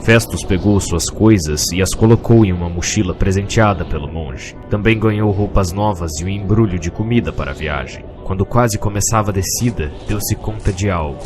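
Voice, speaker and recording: Male narrator, low pitch, Brazilian accent, scripted fantasy storytelling, soft music behind. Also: normal speed, few pauses.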